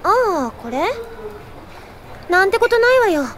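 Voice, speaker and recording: A woman's voice: very high-pitched, very animated, unhurried, a bit bright, slight background noise, heavily acted. An actress playing an upbeat, kind young girl in an anime audio drama, with a breezy, girlish, teasing manner. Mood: cheerful. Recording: studio drama with music behind.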